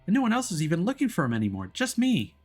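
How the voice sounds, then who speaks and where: An earnest young boy played by a grown man, with a high, lifted voice that swings around as he pleads. He talks urgently and insistently, like a worried kid in a mystery game who needs to be believed. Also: huge pitch swings, rapid-fire, a little mellow, breathy edge, faint music behind, heavily acted. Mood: pleading, earnest. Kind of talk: visual novel character voicing.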